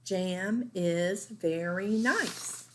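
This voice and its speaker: A woman's voice: medium pitch, drawn-out words, slight background noise. A woman voicing an earnest, childlike young girl character in a storybook. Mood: singsong.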